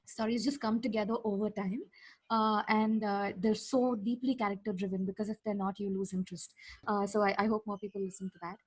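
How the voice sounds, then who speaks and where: A woman's voice: medium pitch, flat delivery, fairly quick, husky, no echo, low-quality recording. A woman, chatting casually and effusively as a podcast guest giving recommendations. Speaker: guest, fiction podcast creator. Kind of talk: casual enthusiastic recommendation chat.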